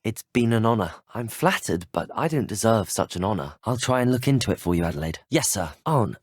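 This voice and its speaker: A man's voice: on the low side, lively intonation, brisk, no room sound, studio quality. A young man with a British accent voicing a polite, plucky, well-spoken teenage student wizard in a video game. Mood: polite, curious. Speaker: video game player character voice. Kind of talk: scripted game voice lines.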